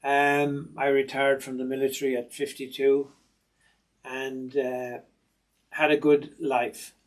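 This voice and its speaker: A man's voice: mid-range voice, flat delivery, takes it slowly. Irish man giving a hands-on lesson. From Ireland. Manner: methodical, plain-spoken, with frequent um and uh. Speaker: sewing machine technician. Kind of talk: instructional demonstration.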